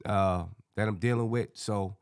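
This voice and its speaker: A man with a hoarse, scratchy voice hosting a casual sports fan panel in slangy, colloquial talk. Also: fairly low pitch, fairly even intonation, a little slow, a little mellow, no room sound, clean studio sound.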